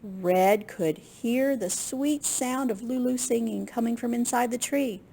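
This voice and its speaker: A woman with a slightly husky, gravelly voice reading a picture book aloud to young children in an expressive way. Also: a little high, a little slow. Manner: expressive, with gasps and questions to young listeners. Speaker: children's librarian storyteller.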